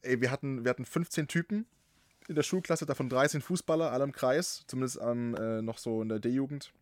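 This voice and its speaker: A man from Saxony with a slight Saxon accent, hosting a podcast in casual, animated chat. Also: mid-range voice, brisk, no room sound. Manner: animated, emphatic, swears casually. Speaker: podcast host.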